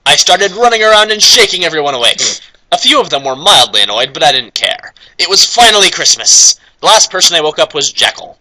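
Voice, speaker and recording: Young man, slightly high voice, very bright, casual read-aloud, joking asides. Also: very animated, brisk, rough recording. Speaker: co-host reading fan fiction aloud.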